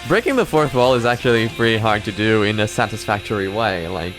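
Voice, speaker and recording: A man's voice: on the low side, lively intonation, fairly quick, over music. A man hosting a reaction video, giving chatty, casual commentary with apologetic asides.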